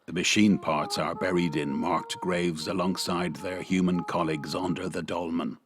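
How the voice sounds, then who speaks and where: Very deep-voiced male drama narrator. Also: fairly even intonation, normal speed. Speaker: radio play narrator.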